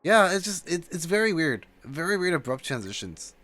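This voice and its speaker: A man giving casual, rambling reaction commentary on a video game, with halting asides and exclamations. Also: a little high, big pitch swings, a bit bright, faint music behind.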